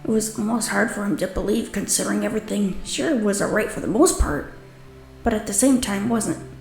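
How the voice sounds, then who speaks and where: A woman's voice: mid-range voice, a little fast, a little mellow. A woman reading scripted story narration for a manga dub. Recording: roomy echo, music behind.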